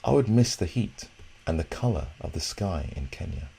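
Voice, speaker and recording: Man acting a smooth, low storybook uncle. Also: expressive, normal speed, full character voice. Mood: wistful. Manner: smooth, velvety, warm. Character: Uncle Cosmo, smooth-voiced mischievous adult man at breakfast.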